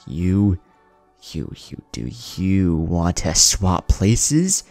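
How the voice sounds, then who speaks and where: A man voicing a feisty, loving young new mother in a story, expressively, in his low narrator's voice. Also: sing-song, very slow, creaky, darkish tone, no room sound, heavily acted. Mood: snapping. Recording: music under the voice.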